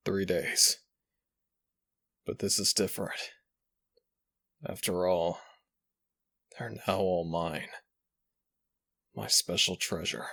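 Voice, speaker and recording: A man playing a smitten young dragon shifter prince in a romantic ASMR audio roleplay, speaking tenderly and a little haltingly to the listener. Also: sits low, bright, forceful tone, full character voice. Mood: eager, nervous.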